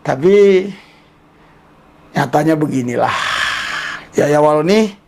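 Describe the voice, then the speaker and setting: A man's voice: fairly high pitch, lively intonation, slow, creaky, darkish tone. A man gives casual commentary with a preaching bent, mocking and repeating himself for emphasis. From Indonesia.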